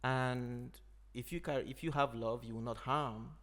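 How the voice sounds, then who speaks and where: Gravelly-voiced man, thoughtful. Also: mid-range voice, steady tone, fairly bright tone. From Nigeria. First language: Igbo. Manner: thoughtful, unhurried, frequent "you know". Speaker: podcast host. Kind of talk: reflective conversation.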